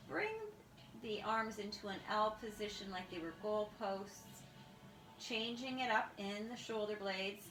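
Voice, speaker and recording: Calm lady yoga teacher talking thru poses step by step, roomy echo, music in the background. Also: medium pitch. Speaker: yoga instructor. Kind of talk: guided yoga class instruction. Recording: echoey room with music behind.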